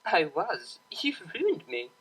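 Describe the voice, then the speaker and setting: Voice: mid-range voice, wildly swooping pitch, slow pace, fairly bright tone, husky, exaggerated voice, low-quality recording. A performer voicing a refined young mare who plays cello, prim and easily flustered, in an audio story. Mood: mock threatening. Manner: prim, formal phrasing.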